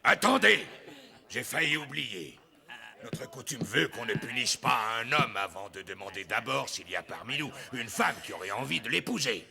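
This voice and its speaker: An actor playing a brash, mocking leader of beggars in a French cartoon dub, gravelly and theatrical. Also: male voice, medium pitch, very animated, normal speed, pushed and bright, full character voice. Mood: bossy, gleeful. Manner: theatrical, taunting.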